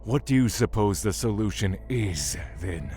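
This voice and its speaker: A man playing an aloof, stubborn immortal god in a fantasy audio drama, with a husky voice. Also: on the low side, lively intonation, a little slow, background noise. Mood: defiant.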